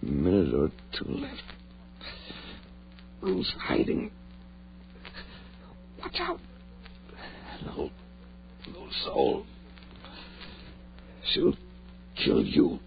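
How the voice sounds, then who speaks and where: Soothing scientific colleague for 1940s radio horror: mid-pitched, gravelly, coaxing, on a muffled vintage recording. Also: male voice, wildly swooping pitch, extremely slow, pauses often, slight background noise, heavily acted. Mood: weak, gasping. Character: steady, soothing male colleague and friend, coolly analytical.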